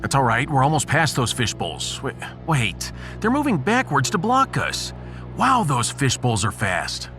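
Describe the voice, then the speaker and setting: A man playing a sarcastic, excitable, unheroic starship captain in a science fiction audio drama. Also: mid-range voice, a little slow, soft background music, heavily acted. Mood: alarmed. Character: wry, cowardly but bantering male starship captain. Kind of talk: sci-fi audiodrama acting.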